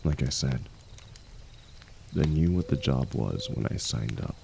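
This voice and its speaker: A man playing an aging, wounded warrior, the hero's loyal and gentle shield, speaking softly and haltingly in an intimate audio roleplay. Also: extremely low pitch, animated, creaky, slight background noise, full character voice. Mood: resigned, earnest. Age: older. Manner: soft, halting, confiding, reassuring.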